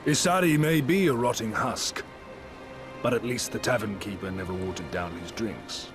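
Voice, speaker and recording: An actor playing a bold, defiant pirate captain in a video game, with a commanding, declamatory delivery. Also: male voice, fairly low pitch, moderate pace, music in the background, lightly acted. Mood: wry. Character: bold, defiant male pirate captain rallying his crew. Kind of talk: video game cutscene dialogue.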